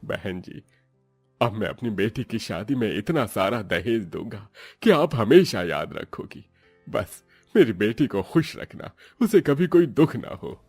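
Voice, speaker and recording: A man playing a humble, devout middle-aged farmer and father in an animated moral story, speaking earnestly with exclamations. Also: on the low side, a little slow, husky, dry recording, clean studio sound, heavily acted. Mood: happy, hopeful. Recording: faint music behind.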